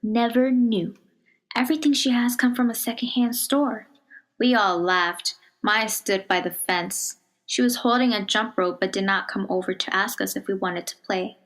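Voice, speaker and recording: A woman reads a children's picture book aloud in a measured, expressive storytelling manner, dropping to a hush on some phrases. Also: mid-range voice. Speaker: storybook reader.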